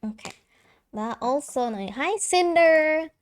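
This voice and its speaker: Young woman streamer, light soprano voice, chatty and giggly, talks slow and stumbles over words. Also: high voice, animated. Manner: chatty, giggly, halting with many fillers and restarts. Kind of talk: casual live-stream chat.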